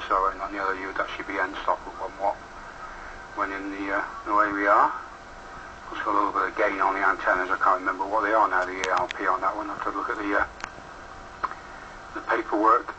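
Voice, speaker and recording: A man's voice: low-pitched, dull audio. A man heard over a two-way radio, rambling matter-of-factly in casual amateur radio chat. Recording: noisy two-way radio transmission through a speaker.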